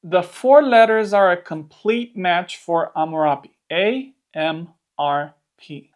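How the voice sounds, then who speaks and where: A presenter with a Romanian accent, a native Romanian speaker, gives a scripted educational narration in English, explaining things clearly with playful asides. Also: medium pitch. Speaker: host of a Bible history channel.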